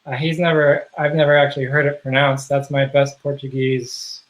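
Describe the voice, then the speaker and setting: A man being interviewed on a video call, talking casually and haltingly with many fillers and restarts. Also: mid-range voice, flat delivery, poor audio. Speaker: guest, software project contributor.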